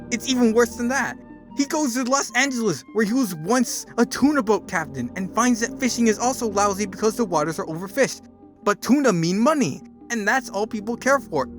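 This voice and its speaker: High-pitched man dramatically reading a story. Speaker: narrator doing a dramatic reading. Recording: music behind the voice. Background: music.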